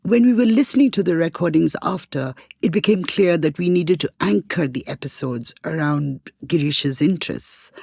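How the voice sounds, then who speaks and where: A woman co-hosting a podcast, telling things in a conversational, expressive way. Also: low-pitched, normal speed, creaky, few pauses, slightly rough, dry recording. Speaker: podcast co-host.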